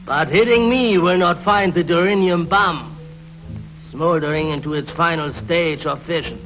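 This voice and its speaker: An actor playing a smug, fanatical alien saboteur in a 1950s science fiction TV show, theatrical and taunting. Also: male voice, on the high side, normal speed, close to an everyday voice. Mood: mocking. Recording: muffled 1950s TV soundtrack with music.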